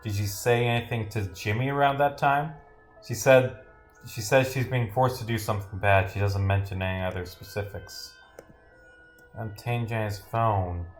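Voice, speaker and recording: A man's voice: lowish voice, fairly even intonation, moderate pace. A man giving casual, rambling livestream commentary as he playtests a game and reads its text aloud, often interrupting himself with dry jokes. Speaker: streamer, game developer. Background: game music.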